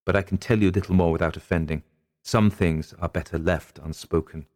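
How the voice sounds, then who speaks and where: A man's voice: deep voice, steady tone, brisk, darkish tone, close to an everyday voice. A man voicing a genteel, anguished wife who pleads in formal, melodramatic period language, as in a dramatic audiobook. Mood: bitter, anguished. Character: anguished young gentlewoman, genteel, pleading, dreading a cruel husband.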